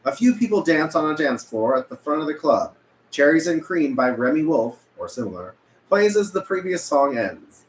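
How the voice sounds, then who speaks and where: A man's voice: fairly high pitch, big pitch swings, moderate pace. A man reading stage directions at a script table read, occasionally stumbling and correcting himself. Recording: echoey room with background noise.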